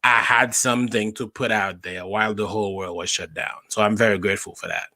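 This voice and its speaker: A young adult man from Haiti, a stand-up comedian telling his story in a casual interview, with animated emphasis. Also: fairly low pitch, a little fast, no room sound.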